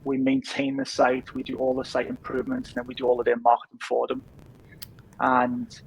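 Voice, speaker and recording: A man in his thirties from England with a softened Liverpool accent, chatting easily as a podcast guest with lots of fillers. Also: mid-range voice, monotone, a little fast, gravelly, breathy edge, poor audio. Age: 30s. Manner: easygoing, rambling with many fillers. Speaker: podcast guest, business founder. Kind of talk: casual interview chat.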